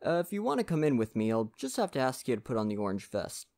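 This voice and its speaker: A performer voicing a friendly, poetic male lumberjack, chatty and gently courteous, in an ASMR roleplay addressed to the listener. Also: medium pitch, big pitch swings, fast. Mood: easygoing, chatty.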